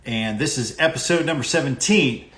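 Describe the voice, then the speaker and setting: A man's voice: mid-range voice, normal speed, a bit bright. A middle-aged man hosting a casual live interview over a video call, talking in an informal, chummy way. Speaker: interview show host.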